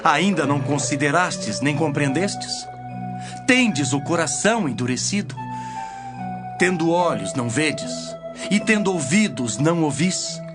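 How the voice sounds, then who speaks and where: Guy playing a solemn bible teacher, grave n declamatory, kinda high male voice, music clearly behind. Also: lively intonation, a little slow. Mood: reproachful. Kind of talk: dramatized audio Bible acting.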